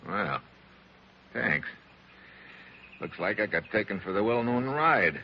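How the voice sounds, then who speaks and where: An actor playing a tough, wisecracking American special agent in a 1940s radio drama, with clipped, slangy, wry delivery. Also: male voice, lowish voice, lively intonation, slow pace. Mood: wry, skeptical. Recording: muffled, noisy 1940s radio recording.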